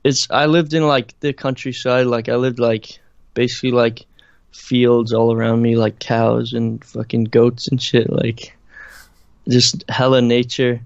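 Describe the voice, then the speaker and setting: Young man, faint Irish lilt, flat gravelly voice. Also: mid-range voice, no room sound. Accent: slight Irish. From Ireland. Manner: halting, many fillers and restarts. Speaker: interviewed musician. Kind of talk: casual interview chat. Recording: dry, muffled lo-fi recording.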